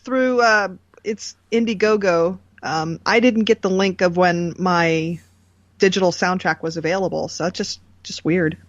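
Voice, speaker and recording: A slightly muffled, dry recording of a woman co-hosting a casual podcast. She speaks at a slow, easy pace in a mid-pitched voice. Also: steady tone, no room sound. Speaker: podcast co-host. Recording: dull, dry lo-fi voice track.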